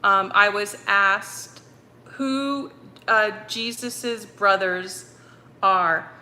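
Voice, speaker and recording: A woman's voice: medium pitch, extremely slow, bright, forceful tone. A woman with a slight Boston accent, chatting casually with viewers on a livestream from her home, rambling with lots of ums and restarts. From North Andover, Massachusetts. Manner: chatty, rambling, many ums and self-corrections. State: hot and sweaty. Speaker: medium hosting a livestream. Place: apartment with broken air conditioning.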